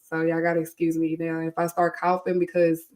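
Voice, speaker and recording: A woman's voice: low-pitched, monotone, a little fast, darkish tone. Chatty woman podcast host, stuffy-voiced. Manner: chatty, rambling, frequent ums and asides. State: congested from allergies. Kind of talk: casual solo podcast chat.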